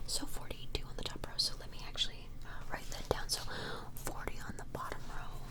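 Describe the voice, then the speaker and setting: A woman whispering softly and breathily up close to the mic in a gentle, reassuring ASMR personal-attention roleplay, murmuring hesitantly. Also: brisk. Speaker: ASMR performer. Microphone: close-up microphone.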